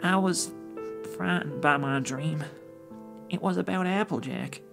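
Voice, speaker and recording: A man voicing a frightened little girl pony in a storybook reading, softly spoken and hesitant. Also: on the high side, measured pace, gravelly, over music, voice pushed up. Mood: worried. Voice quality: soft. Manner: hesitant, stammering. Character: frightened little filly, a young sister pony.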